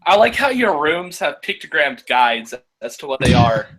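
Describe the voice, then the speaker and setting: A woman's voice: low-pitched, very animated, moderate pace, husky. A young woman on a casual video call, joking and a bit hesitant. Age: young adult. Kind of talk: casual group video chat. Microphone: computer mic on a video call.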